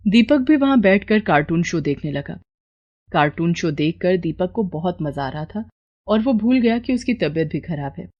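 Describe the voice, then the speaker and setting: A woman's voice: on the low side, darkish tone, dry recording, highs cut off. A woman narrator reading a scripted moral story.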